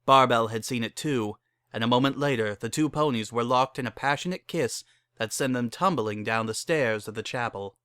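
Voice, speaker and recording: A man's voice: medium pitch, moderate pace, a bit bright, clear, resonant tone, no room sound. A man narrating a fan fiction story as a scripted dramatic reading.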